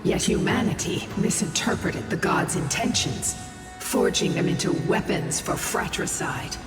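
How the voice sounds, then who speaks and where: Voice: mid-range voice, expressive, unhurried, far from the mic. A narrator speaking in a solemn, prophetic way. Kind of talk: solemn scripted game narration. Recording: echoey reverb with game music.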